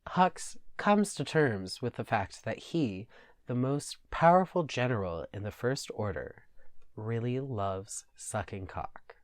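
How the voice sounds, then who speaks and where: A man's voice: mid-range voice, lively intonation, takes it slowly, no room sound, clean studio sound. A man hosting a podcast and reading fan fiction aloud in a scripted narration style. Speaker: podcast host and story reader.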